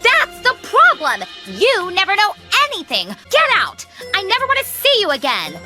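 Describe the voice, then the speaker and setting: An actress voicing a spoiled, haughty rich teenage girl in a cartoon, shrill and mocking. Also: huge pitch swings, moderate pace, exaggerated voice. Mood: furious. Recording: cartoon clip with music behind.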